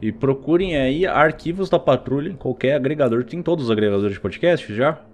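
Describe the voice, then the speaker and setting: A man's voice: mid-range voice, a little fast, darkish tone, faint music behind. A man with a Brazilian accent hosting a podcast in an informal, slangy, conversational way. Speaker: podcast host. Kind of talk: casual chat.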